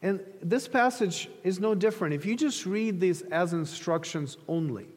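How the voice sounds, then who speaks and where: High-voiced male preacher in a reverberant hall. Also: lively intonation, moderate pace. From Ukraine. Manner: conversational, illustrative storytelling. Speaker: pastor preaching to a congregation. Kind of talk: sermon. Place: large reverberant room, preaching to an audience.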